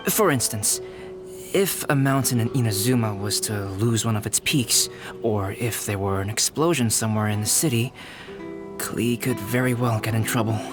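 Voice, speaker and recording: An actor playing a calm, courteous young scholar in a video game, speaking with measured, formal precision. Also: male voice, on the low side, close to an everyday voice. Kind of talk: video game character acting.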